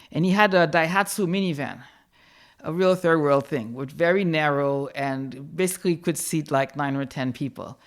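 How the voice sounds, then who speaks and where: A woman in her fifties, born in New York and raised in Haiti, telling her own life story in a reflective, candid way. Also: deep voice. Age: 50s. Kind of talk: personal storytelling.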